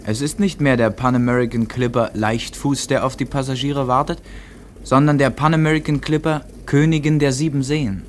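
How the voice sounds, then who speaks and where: A man plays a dry observer narrating a scripted radio drama. His voice is of medium pitch. He reports in a literary, scripted style. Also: steady tone, normal speed, slight background noise, lightly acted.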